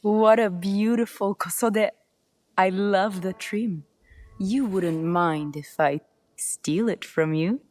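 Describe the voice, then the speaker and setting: A woman's voice: medium pitch, slow pace, darkish tone, soft background music, lightly acted. An actress playing a bold, boastful, self-assured noblewoman of feudal Japan in a video game cutscene. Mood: playful, brazen. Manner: self-assured, teasing, haughty.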